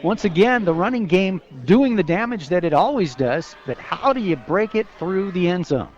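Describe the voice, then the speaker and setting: A man's voice: high-pitched, animated, normal speed, a little mellow. A man giving conversational, analytical color commentary and stats on a live football radio broadcast. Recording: dull lo-fi radio broadcast sound.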